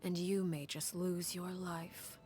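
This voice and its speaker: A woman voicing a cold, commanding female master duelist in an anime game, measured, formal and imperious. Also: lowish voice, little pitch movement, darkish tone, faint music behind. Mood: cold, composed. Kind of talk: dramatic anime game voice acting.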